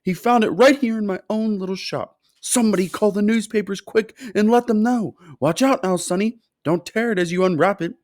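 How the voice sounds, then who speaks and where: A man voicing an excitable, kindly fat male shopkeeper who shouts with delight, in a storybook reading. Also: medium pitch, normal speed, dry recording, clean studio sound. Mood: ecstatic shouting.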